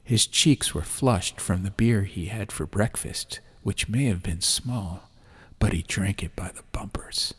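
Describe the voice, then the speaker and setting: A man's voice: low voice, a little slow, fairly bright tone, breathy edge, whispered. A man reading an atmospheric fantasy audiobook as a scripted storyteller. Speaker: audiobook narrator. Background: music.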